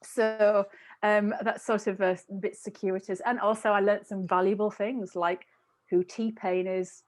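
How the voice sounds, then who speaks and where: A woman in her thirties talks casually on a podcast panel. Her voice has an average pitch, and she feels her way through sentences with many halts and restarts. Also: flat delivery, measured pace. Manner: hesitant, self-interrupting. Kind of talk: casual chat. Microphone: wired earphones on video call.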